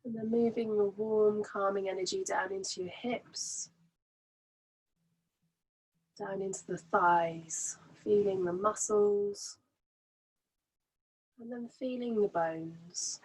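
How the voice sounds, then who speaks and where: A woman guides a meditation at a very slow, unhurried pace. Her voice is soft-edged and breathy, at a medium pitch for a woman. She gives each instruction gently and soothingly, as a relaxation teacher does. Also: steady tone, poor audio.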